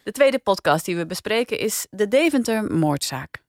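A woman's voice: medium pitch, very animated, moderate pace, dry recording, clean studio sound. A woman, chatting casually as she co-hosts a podcast review. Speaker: podcast co-host.

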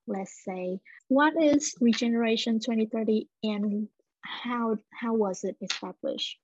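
A woman hosts a podcast interview, posing friendly, casual questions in a husky, breathy voice. The sound is dry and muffled, like a modest lo-fi recording. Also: mid-range voice, darkish tone, dry recording. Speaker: podcast host. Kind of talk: interviewing a guest. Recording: dull dry lo-fi mic.

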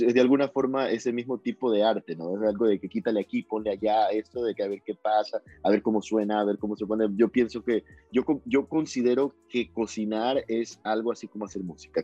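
A man's voice: lowish voice, dark tone, husky, no echo, dull audio. A young man from Ecuador with a coastal Ecuadorian accent, chatting as an interview guest and rambling with many restarts. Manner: rambling, repeats and restarts words. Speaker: guest musician. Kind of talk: casual interview chat.

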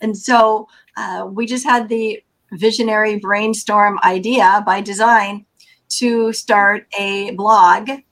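A woman's voice: mid-range voice, fairly even intonation, slow, fairly bright tone. Earnest, enthusiastic woman podcast host. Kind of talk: casual podcast chat.

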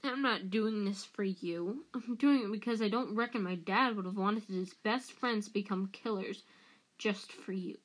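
A woman voicing a distressed but defiant teenage boy in an audiobook, breathless and halting yet insistent. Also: mid-range voice, natural voice, poor audio. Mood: disgusted, resolute.

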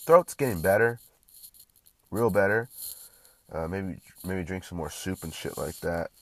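A young man with a very low voice talks loosely to his viewers, his nose stuffed up and congested. He rambles, restarts his sentences and swears freely. The sound is dry and close, with no room echo. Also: a little slow, a bit bright. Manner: casual, profane, rambling with restarts. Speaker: vlogger. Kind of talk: casual rambling vlog.

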